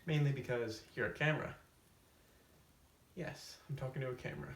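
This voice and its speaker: A man hosting a comedic storytime video, casually summing up a book to camera with tongue-in-cheek, deadpan asides. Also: medium pitch, a little fast, gravelly, distant mic. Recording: roomy echo, off-mic, faint hiss.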